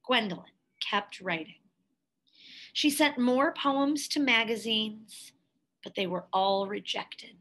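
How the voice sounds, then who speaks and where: A woman reading a picture book aloud, with careful, expressive storytelling phrasing. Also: fairly high pitch, poor audio. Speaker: storybook reader.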